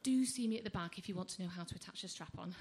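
A woman's voice: on the low side, very fast. A woman doing musical stand-up on stage, with an awkward, apologetic, understated delivery. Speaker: musical comedian. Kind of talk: stand-up between comic songs. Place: live comedy club stage. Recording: noisy live room.

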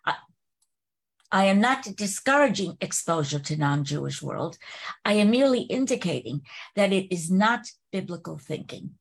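A woman in the class reads a passage aloud at a steady, careful pace over a video call. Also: on the low side, normal speed, creaky, slightly rough. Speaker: class participant. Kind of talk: reading a text aloud.

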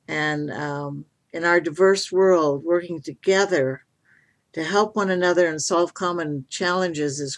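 A woman hosting an interfaith podcast interview, speaking in an appreciative, affirming manner. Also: lowish voice. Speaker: podcast host.

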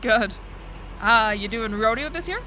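A woman's voice: on the high side, lively intonation, measured pace, sharp tone, a little hiss or hum. A woman playing a practical, guarded farmer in an audio drama, speaking in terse, plain, naturalistic lines. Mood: guarded, reluctant. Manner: terse, plain-spoken, hedging politely. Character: practical, guarded woman farmer renting land, busy seeding.

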